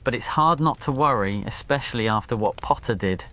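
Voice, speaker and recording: Guy doing a stubborn young wizard schoolboy for an asmr story, guarded, earnest, mid pitch. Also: fairly quick, pushed and bright, natural voice. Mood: quiet, worried. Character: defiant, devoted young male wizard student.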